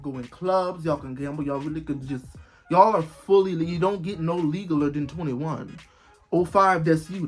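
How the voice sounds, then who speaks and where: A man's voice: sits high, a bit bright. Twenty-year-old male vlogger, loose and rambling, keeps circling back in disbelief; faint music underneath. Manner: rambling, repetitive, exclaiming in disbelief. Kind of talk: casual talk to camera.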